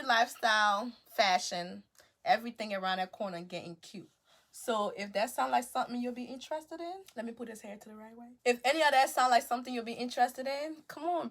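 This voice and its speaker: A woman's voice: fairly high pitch, fairly bright tone. A woman in her twenties from Liberia with a Liberian accent, chatting upbeat to camera as a beauty vlogger and tagging her sentences with "okay?". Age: 20s. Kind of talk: casual direct-to-camera chat.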